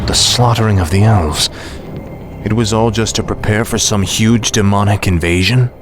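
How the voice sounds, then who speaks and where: A cruel undead champion voiced by a man speaks in a low, menacing voice with arrogant command. The sardonic game cutscene performance plays over clear music. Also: normal speed, close to an everyday voice. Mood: sardonic, questioning. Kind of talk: video game cutscene acting.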